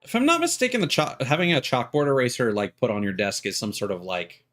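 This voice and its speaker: Game streamer with a very lively pitch. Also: fast talker. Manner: rambling, musing aloud. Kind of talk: casual gameplay commentary. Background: video game music.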